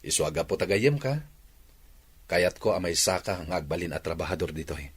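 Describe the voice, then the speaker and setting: A man's voice: low-pitched, animated, a little fast, slightly rough. A man acting an earnest, determined young rancher and father in a village radio drama. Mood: matter-of-fact.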